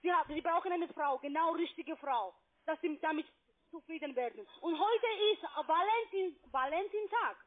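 High-pitched pushy woman on a phone line. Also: animated. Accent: foreign. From Saudi Arabia. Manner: insistent, pushy, halting non-native German. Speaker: call centre agent. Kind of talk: argumentative phone conversation. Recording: tinny, narrow phone line.

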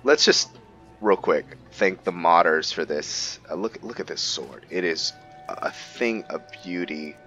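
Male gaming host, deep voice, casual rambling commentary, lo-fi sound over faint music. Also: unhurried, a bit bright. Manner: rambling, thinking aloud. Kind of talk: casual roleplay game commentary. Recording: dull lo-fi mic under music. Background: game music.

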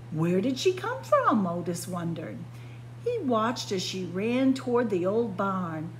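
A woman's voice: mid-range voice, slight background noise, low-quality recording. A woman reading a children's picture book aloud like a story-time librarian, expressively, with dramatic emphasis on key words.

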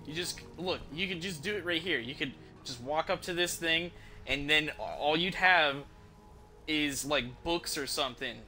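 A man's voice: a little high, normal speed, pushed and bright. A young man giving casual, profane video game commentary while chatting with his stream viewers. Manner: profane, informal slangy talk. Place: indoor room, streaming from a desk. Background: video game music.

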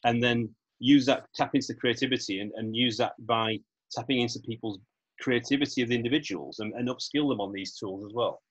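Brief: husky-voiced British podcast host in his 50s, rambling and casual, speaking over a video call. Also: mid-range voice, a little fast, highs cut off. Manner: rambling, searches for words, asks for agreement with "yeah?". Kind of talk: casual interview chat.

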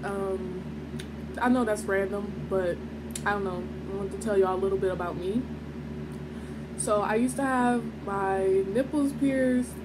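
A woman's voice: mid-range voice, steady tone, a little slow, background noise. A young woman with a nasal, stuffy voice from allergies, chatting in a rambling way while eating on camera at a table at home. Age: young adult. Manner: rambling, chatty, many fillers. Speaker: mukbang vlogger. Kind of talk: casual chat while eating. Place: small home dining room, indoors. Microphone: camera on table at close range.

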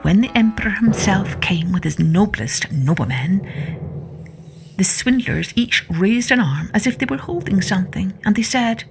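A woman narrating a children's storybook as a podcast host, in an expressive, deliberate manner. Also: mid-range voice, soft background music.

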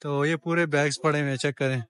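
A man's voice: fairly high pitch, fairly even intonation, fast, a bit bright, clear, resonant tone. A man giving a chatty walking-tour vlog of a street market, talking straight to viewers and bargaining with shopkeepers as he films. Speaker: travel vlogger.

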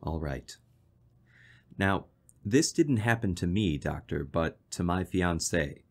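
A man playing an earnest, worried fiancé in an audio drama, speaking politely and seriously in a measured way. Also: low-pitched, lively intonation, exaggerated voice. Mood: earnest, uneasy. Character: earnest, worried young fiancé telling his strange story. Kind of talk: audio drama acting and narration.

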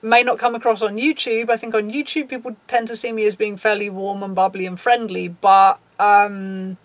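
Woman, 30s, low raspy voice; blunt, sweary talk to camera, dry sound. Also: level tone, moderate pace, no echo, rough recording. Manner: frank, swears freely, reads out a list and reacts. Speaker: YouTuber. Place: small dim room, indoors.

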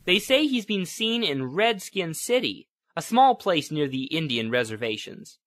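A man's voice: fairly high pitch, very animated, measured pace, a bit bright, no echo. A man playing a plucky, polite young reporter in a radio adventure, with theatrical, exclamatory delivery. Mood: calm, polite. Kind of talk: radio-play adventure acting.

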